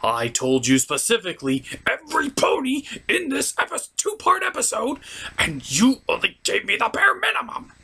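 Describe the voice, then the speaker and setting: A man voicing a reluctant, defensive female cartoon pony in a strained husky falsetto for a puppet show skit. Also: huge pitch swings, normal speed, sharp tone, heavily acted. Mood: indignant.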